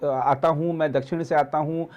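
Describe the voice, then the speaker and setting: Higher-pitched man, halting commentator. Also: fairly even intonation, darkish tone. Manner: halting, repeats words and phrases. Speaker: news editor and commentator. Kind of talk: political discussion.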